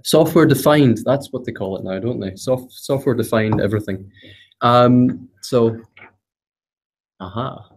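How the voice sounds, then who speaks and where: A man's voice: mid-range voice, unhurried, breathy edge. A man with a Scottish accent giving a technical meetup talk, hesitant, with many fillers and some self-deprecating humor. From Scotland. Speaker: presenter. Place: meetup room, also streamed online.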